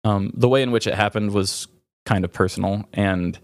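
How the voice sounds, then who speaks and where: A man hosting a podcast, talking candidly and reflectively in a halting way, searching for words. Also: fairly low pitch, no room sound. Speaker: podcast host. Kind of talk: candid, reflective personal conversation.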